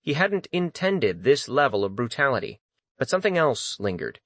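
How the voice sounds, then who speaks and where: A man's voice: medium pitch, sing-song, normal speed, a bit bright, no echo. A man reading dramatic scripted fantasy narration as an audiobook narrator.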